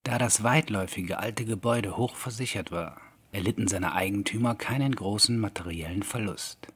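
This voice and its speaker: A man narrating a scripted horror audiobook in a measured, deliberate storytelling manner. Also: fairly low pitch, slightly rough. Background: soft background music.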